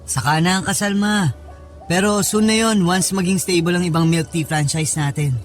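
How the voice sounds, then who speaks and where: A man plays an easygoing young boyfriend in a radio drama, with a notably high voice for a man. He sounds casual and affectionate, over faint music. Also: normal speed, close to an everyday voice. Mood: breezy, affectionate. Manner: breezy, casual. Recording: music under the voice.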